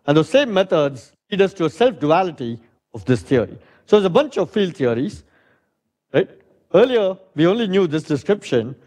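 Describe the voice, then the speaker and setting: A middle-aged man with a loud, fairly high voice lectures in a hall. He thinks aloud, dotting his talk with "uh" and "right?", and paces at the front. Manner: thinking aloud with many "uh"s and tag questions. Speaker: physics lecturer. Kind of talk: academic lecture.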